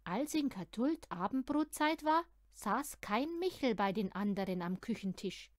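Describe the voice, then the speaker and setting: A woman reads a children's story aloud in an expressive storytelling style. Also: on the high side, unhurried, no room sound, clean studio sound. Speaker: audiobook reader of children's stories.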